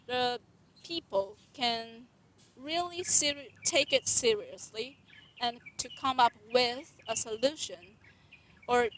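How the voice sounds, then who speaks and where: A young woman, a resident doctor answering interview questions in an earnest, deliberate way with occasional restarts. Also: high voice, a bit bright, slight background noise. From Taiwan.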